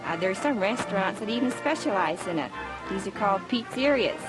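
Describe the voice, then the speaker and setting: A woman gives a cooking lesson in the prim, instructive manner of 1950s television. A slight southern twang colors her speech. Music plays clearly underneath the old archive audio. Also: medium pitch, expressive, a little fast, rough recording. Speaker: cooking show presenter.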